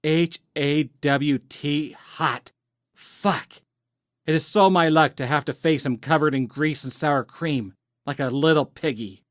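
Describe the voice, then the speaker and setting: Excitable young woman, read by a male narrator, swooning and self-mocking inner voice, audiobook character. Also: on the high side, slow, no echo, studio quality. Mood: flustered, smitten.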